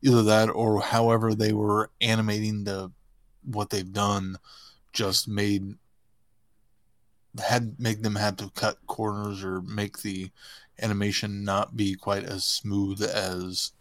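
A man talking casually as a podcast panelist, giving his opinions in a measured, even-handed way. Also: lowish voice, dry recording. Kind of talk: casual review discussion.

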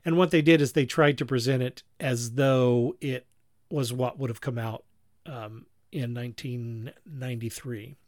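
A man hosting a podcast in a casual review chat, with a halting, rambling delivery in which he often corrects himself. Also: mid-range voice, steady tone, no echo, clean studio sound. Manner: chuckles while talking. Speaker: podcast host.

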